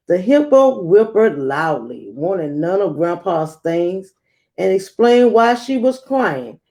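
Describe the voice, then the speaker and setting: A woman reading a rhyming children's picture book aloud, acting it out with theatrical emphasis and dramatic pauses. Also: mid-range voice, mellow. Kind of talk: expressive rhyming storybook read-aloud.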